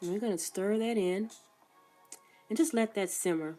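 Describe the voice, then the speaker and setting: A woman's voice: medium pitch, moderate pace, mellow. Woman, congested from a cold, casual step-by-step cooking instructions, faint music behind. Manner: plain step-by-step instructions with frequent coughs. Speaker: cook. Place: food truck kitchen.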